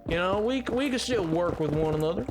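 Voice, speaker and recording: A man's voice: high voice, expressive, fairly quick, noisy background. Man putting on a drawling, folksy Southern cowboy. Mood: polite, pleading. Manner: folksy, rambling, repeats himself. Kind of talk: improvised livestream banter. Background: music.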